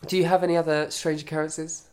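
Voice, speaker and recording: Croaky-voiced podcast co-host. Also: male voice, a little high, little pitch movement, fast, a little mellow. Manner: easygoing, jokey. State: hoarse, croaky from illness. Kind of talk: casual chat.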